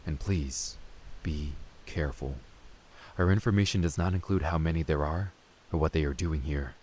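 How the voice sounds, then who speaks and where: A man's voice: extremely low pitch, steady tone, normal speed, a little mellow. A man playing a careful, concerned knight in a fantasy audio drama, with slight hiss on the recording. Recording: faint background hiss.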